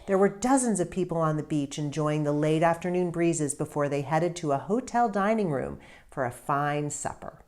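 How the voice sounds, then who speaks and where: A woman author reading a story aloud in an expressive, deliberate narrating style with emphatic stresses. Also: low-pitched.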